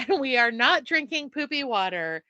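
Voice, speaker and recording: A middle-aged woman co-hosts a casual podcast, giggling and poking fun at herself. Her voice is bright and a touch high. The sound is dry and close. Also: big pitch swings, moderate pace, dry recording. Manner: chatty, giggly, self-deprecating. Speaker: podcast co-host. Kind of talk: casual chat.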